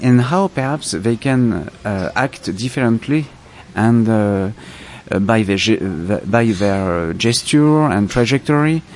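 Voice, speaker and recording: A man from France with a French accent, a filmmaker answering interview questions in a halting, reflective way as he searches for words. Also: on the low side, expressive, a little mellow, slight background noise. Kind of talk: festival interview.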